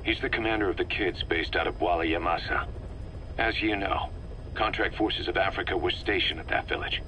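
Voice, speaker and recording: An actor playing a serious military second-in-command in a video game, giving measured, analytical briefings. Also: male voice, low voice, sharp tone, slight background noise, poor audio. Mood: grave, explanatory. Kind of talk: video game voice acting, dialogue.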